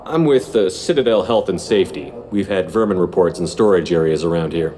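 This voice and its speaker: An actor playing a businesslike, no-nonsense military commander in a video game, talking in a clipped, matter-of-fact way. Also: male voice, sits low, brisk, dark tone, a little hiss or hum, close to an everyday voice. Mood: cool, assertive.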